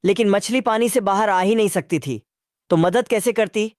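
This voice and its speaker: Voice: mid-range voice, normal speed, darkish tone, dry recording, studio quality. A narrator recapping a cartoon in a casual, chatty way with a bit of street slang. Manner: asks viewers to comment.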